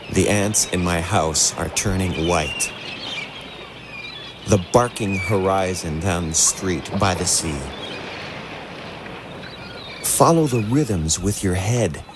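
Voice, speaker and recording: A man reading fragmentary poems in a slow, measured way. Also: low voice, noisy background. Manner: measured, fragmentary, trailing into whispers. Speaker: poetry reader. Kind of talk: slow poetry reading over a soundscape. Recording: voice over loud music bed.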